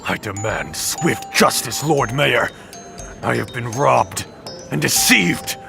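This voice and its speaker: A man playing a proud, wrathful nobleman in an audio play, pompous and formal, with a gravelly voice. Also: mid-range voice, animated, slow pace, heavily acted. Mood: outraged. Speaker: voice actor in an audio drama. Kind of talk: theatrical audio play acting. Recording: music bed under the voice.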